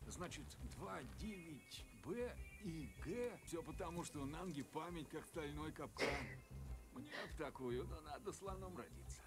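Easygoing yak, cartoon dub, chatty, lively intonation, music behind. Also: male voice, a little high, measured pace. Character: mellow, chatty male yak, naturist guide. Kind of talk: animated film dub.

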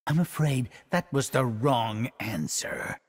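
Creepy masked killer for a game, husky n gravelly, slow swoopy taunting, big reverb. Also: male voice, medium pitch, lively intonation. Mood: taunting menace. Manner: menacing, drawn-out taunting. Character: sinister masked slasher killer, male, taunting and playful. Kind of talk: video game villain voice lines.

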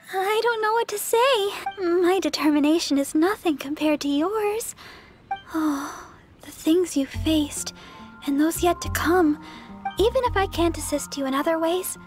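A woman playing a sweet, earnest young church healer in an anime-style video game, polite and gentle. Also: very high-pitched. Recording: loud game music behind.

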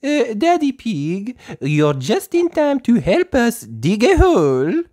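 Woman voicing a high, sing-song gazelle schoolteacher. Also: huge pitch swings, slow, warm tone, exaggerated voice. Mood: patient, instructive. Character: kindly female gazelle schoolteacher, patient and instructive.

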